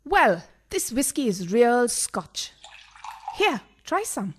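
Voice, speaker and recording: An actress playing a scheming, theatrical mistress in a farcical radio play, coaxing and easily thrilled. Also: female voice, fairly high pitch, big pitch swings, takes it slowly, slight background noise.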